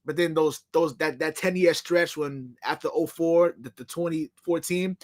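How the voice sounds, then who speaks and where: Slightly high-voiced man in his twenties. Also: fairly even intonation, moderate pace. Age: 20s. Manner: earnest, repeats himself. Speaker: podcast co-host. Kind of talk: casual sports chat.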